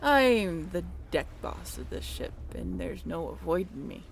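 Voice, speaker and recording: A woman's voice: fairly low pitch, lively intonation, unhurried, voice pushed down. A woman voicing a brash, commanding pirate deck boss who barks at the crew in an audio drama. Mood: proud, challenging. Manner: swaggering, barking orders to the crew. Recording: clear background noise.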